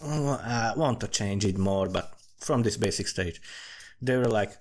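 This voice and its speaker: A man's voice: fairly low pitch, unhurried. A man giving a rambling, informal commentary on a fantasy world he is writing, swearing freely and often restarting his phrases. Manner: profane, informal, thinking aloud. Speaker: amateur fantasy writer.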